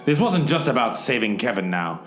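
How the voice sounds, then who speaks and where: Man playing swaggering, animated noir hero-narrator. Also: mid-range voice, fairly quick, close to an everyday voice. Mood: dramatic narration. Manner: melodramatic, hard-boiled. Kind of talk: parody radio-play narration. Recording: echoey room sound. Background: music.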